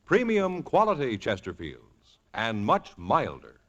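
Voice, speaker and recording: A man's voice: medium pitch, wildly swooping pitch, slow pace, loud music behind the voice. A man working as a radio announcer, reading a sponsor advertisement in 1950s style. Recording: dull vintage radio recording.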